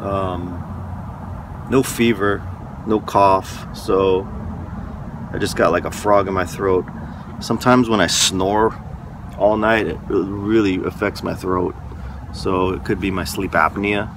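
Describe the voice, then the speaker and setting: A man's voice: deep voice, steady tone, takes it slowly, pauses often. A man with a stuffy nose and sore throat, talking in a rambling, frank way in a casual vlog from a parked van. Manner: rambling, frank, stop-start. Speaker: van life vlogger. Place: parked van outdoors, windy lot. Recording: outdoor wind and traffic noise.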